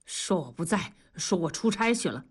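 A woman's voice: mid-range voice, big pitch swings, moderate pace, breathy edge. A woman voicing a grumpy, stubborn retired husband in an audio story, grumbling in colloquial northern Chinese speech. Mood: flustered.

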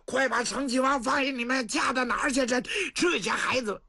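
A man voicing a sly, scheming old general who barks orders in a loud, high voice. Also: slightly rough, no room sound, studio quality. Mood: alarmed, shouting. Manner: brisk, shouting orders.

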